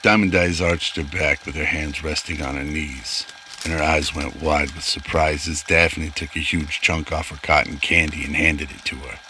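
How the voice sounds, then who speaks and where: A man's voice: very deep voice, fairly even intonation, moderate pace, sharp tone, a little hiss or hum, low-quality recording. A man narrating a scripted sci-fi radio drama.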